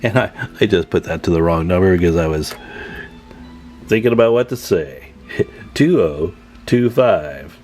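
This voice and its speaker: Male, low voice, casual tutorial host, halting think-aloud delivery over faint music. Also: lively intonation, a little mellow. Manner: informal, halting, thinking aloud while working. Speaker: video host demonstrating CB radio tune-up. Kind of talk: casual hands-on repair tutorial.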